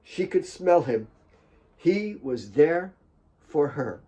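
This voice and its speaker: A man's voice: a little high, sing-song, takes it slowly, dark tone, breathy edge. A man reading his own novel aloud as a dramatic audiobook narration.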